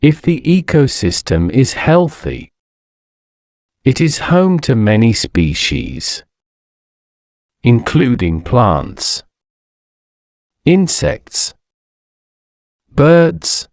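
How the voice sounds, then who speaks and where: A man reading scripted educational narration in a measured, deliberate way, enunciating each phrase with pauses. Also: mid-range voice, big pitch swings, creaky, a little mellow, dry recording, clean studio sound. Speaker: narrator of an English-learning audio story.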